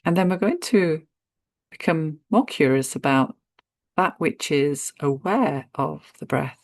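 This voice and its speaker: A woman guiding a meditation in a gentle, unhurried manner, speaking in short phrases with spacious pauses. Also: low voice, lively intonation, dry recording, studio quality. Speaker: meditation guide. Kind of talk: guided meditation narration.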